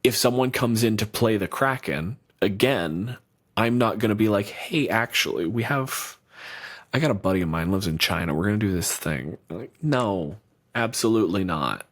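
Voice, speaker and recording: Man, low-voiced co-host, blunt, profane banter. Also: expressive. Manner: blunt, profane, talks in quick bursts. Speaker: podcast co-host. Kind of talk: casual podcast banter.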